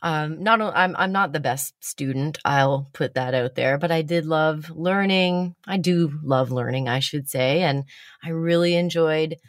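A woman's voice: low-pitched, measured pace, dry recording, clean studio sound. A woman hosting a casual chat, telling stories about herself with blunt, self-mocking humor and the odd swear word.

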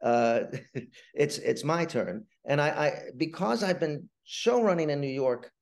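An older man talking casually as an interview guest, wry and halting, often repeating words as he starts a phrase. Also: a little high, lively intonation, creaky. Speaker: writer and showrunner, interview guest.

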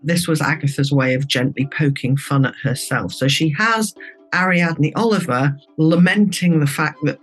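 A man's voice: on the high side, breathy edge. A man giving conversational expert commentary as an interviewee, explaining in a chatty, informal way.

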